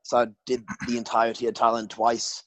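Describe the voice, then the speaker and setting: A man's voice: mid-range voice, completely flat delivery, fairly quick, fairly bright tone, no echo. A British man in his twenties, a podcast guest chatting casually, laughing often and swearing freely. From Britain. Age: 20s. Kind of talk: casual interview chat.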